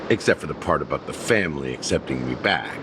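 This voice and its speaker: An actor voicing a scheming middle-aged man in a game cutscene, measured and wry as he explains. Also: rumbling low, lively intonation, background noise. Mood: calm. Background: game score music.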